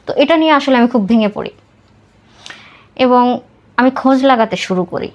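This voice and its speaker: A Bangladeshi woman with a somewhat high voice speaks as a guest on a podcast. She recounts her personal story in interview style, with English words mixed through her speech. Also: normal speed, low-quality recording.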